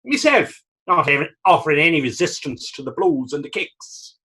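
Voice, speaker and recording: Man acting a husky, animated fisherman. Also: a little high, full character voice. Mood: bemused, animated. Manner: folksy, halting, storytelling in archaic Irish dialect. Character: battered Irish fisherman, pious self-mocking wise-arse dreamer. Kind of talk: readers theater dramatic dialogue.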